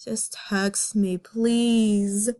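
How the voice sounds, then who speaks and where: A woman's voice: mid-range voice, flat delivery, drawn-out words, mellow. A woman voicing a cocky, sappy male cartoon fox from a fan comic, with a teasing, effusive, melodramatic manner. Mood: grumpy, sleepy.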